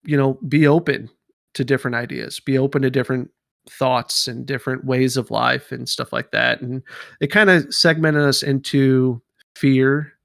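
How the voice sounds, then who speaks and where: A man's voice: medium pitch, steady tone, moderate pace, gravelly, no echo, clean studio sound. A man in his thirties co-hosting a podcast, talking casually with many fillers and restarts. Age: 30s. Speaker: podcast co-host.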